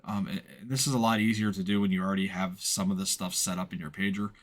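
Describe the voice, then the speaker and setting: Low-pitched man giving a tutorial. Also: steady tone, quick pace. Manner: informal, explanatory, matter-of-fact. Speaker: instructor, software tutorial presenter. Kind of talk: unscripted step-by-step screen tutorial.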